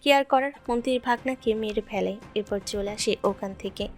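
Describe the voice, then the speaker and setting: A woman's voice: medium pitch, fairly quick. A woman reading scripted narration for an audio story. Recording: background music throughout.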